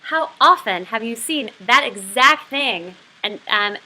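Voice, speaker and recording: A woman's voice: fairly high pitch, lively intonation, slow pace, bright, forceful tone, rough recording. A woman giving an explanatory lesson as a writing instructor, talking through a literary passage.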